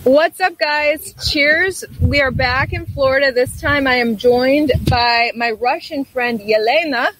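A woman's voice: high-pitched, a little slow, hardly any pauses, fairly bright tone, slight background noise, rough recording. A woman hosting a casual live chat show in an upbeat, informal way. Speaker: talk show host.

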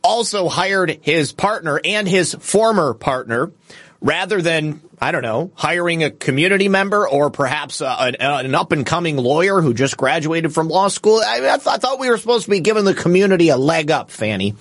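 A man's voice: fairly high pitch, expressive, moderate pace, fairly bright tone, no room sound. A man hosting a political livestream, giving opinionated news commentary with casual asides in a scornful, indignant, crudely humorous manner.

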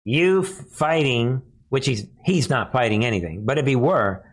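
A man's voice: mid-range voice, lively intonation, measured pace. An American man hosting live political commentary, speaking emphatically and derisively and repeating phrases for effect. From United States. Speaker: news commentary show host.

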